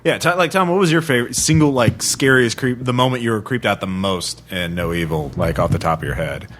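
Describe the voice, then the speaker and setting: A man's voice: mid-range voice, fairly quick, a bit bright, slight background noise. A man chatting informally and with some swearing, as a tabletop gaming podcast host. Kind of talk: casual chat.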